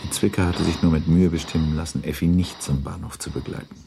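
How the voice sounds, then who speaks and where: A man narrating a classic novel for a radio drama as a sober, measured and matter-of-fact storyteller. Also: rumbling low, fairly even intonation, creaky, noisy background.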